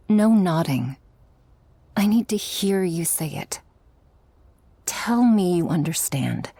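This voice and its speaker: Woman playing a loving but bossy shark girl mistress, breathy and slow, close dry asmr roleplay. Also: fairly low pitch, mellow, no room sound, heavily acted. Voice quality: breathy, often dropping to a whisper. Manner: slow, teasing, tender and commanding. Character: affectionate, protective, dominant shark girl mistress with a troubled past. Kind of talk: intimate ASMR roleplay to the listener.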